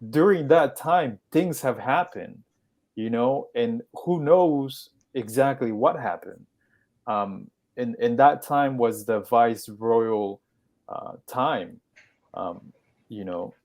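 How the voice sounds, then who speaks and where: A man from Peru speaking on a podcast, explaining things in a measured way with pauses and restarts. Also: mid-range voice, a little mellow. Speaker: podcast guest. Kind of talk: conversational podcast talk.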